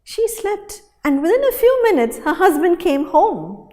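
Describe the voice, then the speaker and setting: A woman storyteller telling a folktale in a dramatic, animated way, with deliberate pauses and repetition. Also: extremely high pitch. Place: hall at a storytelling festival. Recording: reverberant hall sound.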